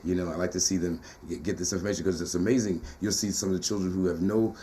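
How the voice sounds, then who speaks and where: A man with a low, mellow tenor-baritone voice speaks with animated, rhythmic emphasis, often circling back on his phrases. The talk is loose and conversational, with a faint hiss of noise behind him. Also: fast talker. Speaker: poet and teacher being interviewed. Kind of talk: casual conversational interview.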